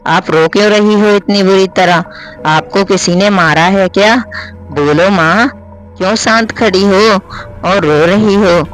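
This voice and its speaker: A man's voice: high voice, a little slow, fairly bright tone, soft background music, rough recording. A man voicing a hot-tempered, defiant schoolgirl in a spoken story, with a brash, quarrelsome manner. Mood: pleading. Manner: brash, quarrelsome, pleading when accused.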